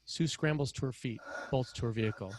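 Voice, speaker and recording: A man reading screenplay action as narrator, in clipped, dramatic, measured phrases. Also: medium pitch, noisy background. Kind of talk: scripted screenplay narration, present tense.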